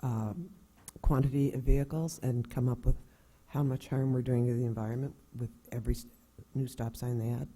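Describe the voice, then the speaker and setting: A man's voice: mid-range voice, steady tone, brisk, few pauses, warm tone, husky. A male town councillor making an earnest remark at a meeting.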